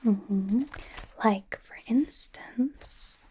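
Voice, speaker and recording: A woman in an ASMR roleplay playing a doting, bossy young pop idol turned fashion stylist, in a soft breathy whisper with a playful, halting delivery. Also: medium pitch. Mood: doting, reassuring. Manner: playful, gushing, gently insistent, halting. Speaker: ASMR performer. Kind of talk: ASMR roleplay, personal attention.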